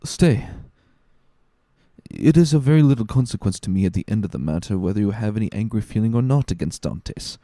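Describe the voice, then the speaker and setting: A man's voice: on the low side, animated, brisk, warm tone, dry recording, clean studio sound. Man acting a hot-headed, impatient young Catalan. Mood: bitter, urgent. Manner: urgent, intense.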